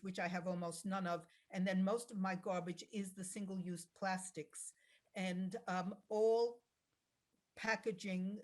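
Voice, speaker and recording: Woman, medium pitch, blunt, emphatic, political candidate answering on a video call. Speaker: election candidate. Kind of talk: answering questions at a candidate forum.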